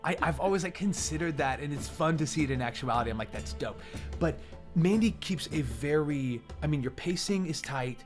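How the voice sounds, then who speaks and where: A man's voice: fairly high pitch, animated, fairly quick, over music. A man hosting a casual chat interview, talking in an enthusiastic, slangy way. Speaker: interview show host.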